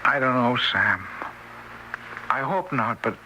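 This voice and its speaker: An actor playing a nervous, apologetic ghostly friend in an old-time radio drama, stammering and hesitant. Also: male voice, mid-range voice, lively intonation, slow. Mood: apologetic, worried. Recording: faintly noisy old radio recording.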